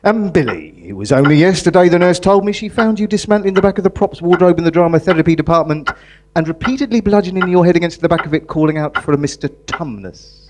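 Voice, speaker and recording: A man's voice: sits high, lively intonation, quick pace. A man playing a stern, exasperated prison governor in live stage comedy, scolding pompously. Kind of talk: live comedy theatre acting. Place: live performance in a museum. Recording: noisy live stage recording.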